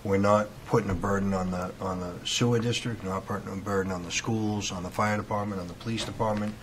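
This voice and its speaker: A man with a low voice argues his points as a member of a town board. The discussion is informal, picked up by room microphones with clear background noise. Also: fairly even intonation, quick pace. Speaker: planning board member and property developer. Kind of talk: informal debate in a public meeting. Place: indoor municipal meeting room. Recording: noisy meeting room microphone pickup.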